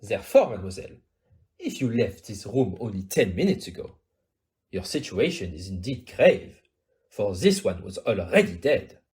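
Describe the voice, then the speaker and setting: A man's voice: fairly low pitch, big pitch swings, normal speed, darkish tone. A man playing a courteous, self-assured Belgian detective in an audio play, with a French-speaking Belgian accent and formal, precise, theatrical phrasing. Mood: probing, insistent.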